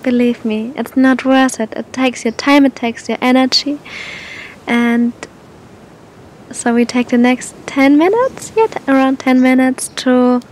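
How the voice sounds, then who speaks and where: A woman guiding a meditation in a gentle, soothing manner, speaking to the listener with long, unhurried pauses. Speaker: meditation guide. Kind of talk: guided meditation, spoken softly to the listener. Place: outdoors on a beach. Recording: outdoor recording with low rumbling noise.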